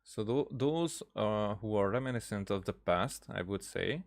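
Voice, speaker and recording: Halting, lowish-voiced male game streamer. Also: lively intonation, creaky. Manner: hesitant, halting, thinking aloud. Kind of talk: casual gameplay commentary.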